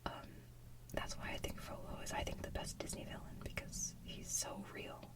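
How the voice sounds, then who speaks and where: Woman whispering asmr, super casual rambly fan chat, slow and soft, sounds a bit away from the mic. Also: recorded from a distance. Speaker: ASMR video host.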